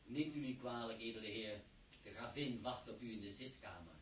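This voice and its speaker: Male servant played by an actor, deferential, lowish voice. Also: brisk, a bit bright, lightly acted, low-quality recording. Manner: polite and deferential.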